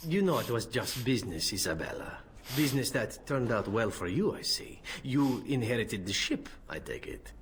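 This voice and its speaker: A man's voice: mid-range voice, animated, moderate pace, a little hiss or hum, lightly acted. An actor voicing a suave, roguish male adventurer in a video game, wry and courteous. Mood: wry, amused.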